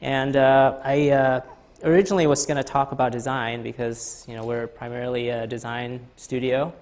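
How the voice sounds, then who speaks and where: A man from Thailand in his thirties giving a conference talk in a lecture hall, halting with many fillers and restarts. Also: mid-range voice, little pitch movement, a little mellow. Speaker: guest speaker.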